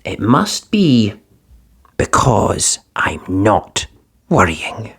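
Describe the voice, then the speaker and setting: A man voicing an anxious little penguin boy in a children's storybook, pleading and breathy, often half-whispered. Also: sing-song, drawn-out words, a bit bright, full character voice. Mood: worried. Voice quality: high, breathy and worried.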